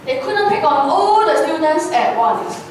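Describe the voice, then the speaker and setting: An eager young schoolboy voiced by a woman with an extremely high voice and slow, projected delivery. It is readers' theatre, heard from afar in a booming, echoey hall. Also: very animated. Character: Mark, eager practical schoolboy cousin. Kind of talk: readers' theatre stage acting. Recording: echoey hall, distant mic, faint rumble.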